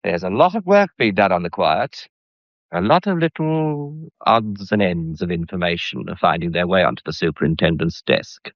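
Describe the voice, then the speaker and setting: Smug English schemer, low and swooping. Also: male voice, lively intonation, no room sound. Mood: smug menace. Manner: smug, menacing, deliberate. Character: insolent, scheming male gallery employee turned blackmailer. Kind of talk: British mystery radio drama acting. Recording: dull, muffled radio drama recording.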